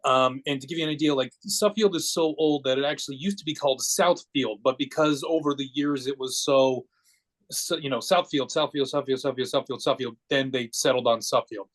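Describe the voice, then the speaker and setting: A forty-year-old American man shares personal stories as an interview guest. He speaks in a medium-pitched voice, casual and matter-of-fact, wandering through his phrases as he goes. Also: a little fast. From Connecticut, United States. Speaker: podcast guest.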